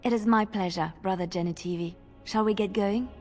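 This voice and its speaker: An actress playing a compassionate, persuasive travelling companion in a video game, gentle and earnest. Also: female voice, medium pitch, brisk, faint music behind, natural voice. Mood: kindly.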